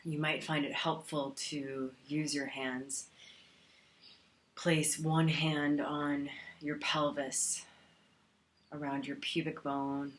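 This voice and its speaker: Slow, low-voiced woman guiding meditation. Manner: calm, soothing, with long pauses. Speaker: meditation teacher. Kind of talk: guided meditation.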